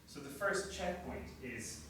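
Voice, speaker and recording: A man's voice: medium pitch, unhurried. Irish man lecturing in an echoey room. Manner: relaxed, self-deprecating, frequent fillers. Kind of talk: academic conference talk. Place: seminar room. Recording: echoey room from far off, noisy.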